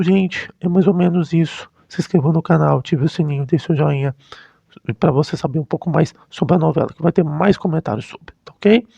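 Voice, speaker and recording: Brazilian male history vlogger, highish creaky voice, halting casual commentary, slightly muffled recording. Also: warm tone. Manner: halting, frequently rephrasing mid-sentence. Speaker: history YouTuber. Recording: dull, lo-fi, roomy sound.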